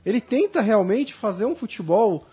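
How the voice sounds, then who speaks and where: A man from Brazil talking football in a casual debate, animated and full of restarts. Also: high voice, husky. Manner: fast, animated, many restarts. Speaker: football pundit.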